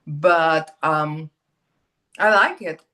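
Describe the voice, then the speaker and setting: Russian-accented woman, slightly low voice. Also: extremely slow, pushed and bright. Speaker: actress, interview guest. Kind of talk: casual conversational interview.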